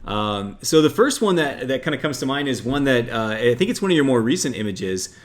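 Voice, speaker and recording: Rapid, gushing male host, stammering restarts, mid-pitched. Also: very animated. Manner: effusive, stammering restarts, lots of "like". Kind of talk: enthusiastic interview chat.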